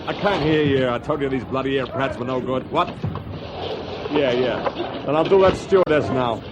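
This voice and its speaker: An actor playing a brisk, businesslike field news reporter in a radio drama. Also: male voice, mid-range voice, natural voice. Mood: irritated. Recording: very noisy outdoor scene, vehicles.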